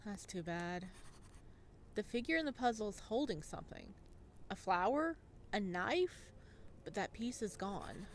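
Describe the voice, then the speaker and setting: A woman's voice: mid-range voice, very animated, unhurried, pauses often, slight background noise. A woman playing a visual novel, reading it aloud and chatting with reactions as she goes. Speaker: video game streamer.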